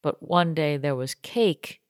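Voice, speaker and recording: Low-voiced young woman cohosting a podcast, jokey and chatty, dry close sound. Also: slow pace, darkish tone, dry recording. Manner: chatty, joking, laughs often. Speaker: podcast co-host. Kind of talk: casual chat. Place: home basement tiki lounge.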